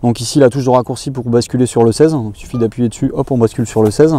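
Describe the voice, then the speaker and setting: Male tutorial presenter, very mellow dark tone, brisk pace, informal unscripted demo delivery. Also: mid-range voice. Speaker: presenter of boating equipment tutorials. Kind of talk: informal product demonstration, explaining step by step.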